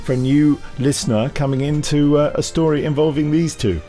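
A man's voice: a little high, steady tone, brisk, mellow, soft background music. A man co-hosting an audio drama news podcast, chatting in a playful, jokey way. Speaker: podcast co-host. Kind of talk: casual chat.

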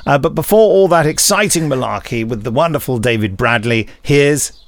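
A man's voice: medium pitch, lively intonation, moderate pace, very noisy. A man co-hosting a podcast, reading announcements and bantering in a tongue-in-cheek, self-mocking way. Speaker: podcast co-host. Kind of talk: jokey scripted announcements and banter.

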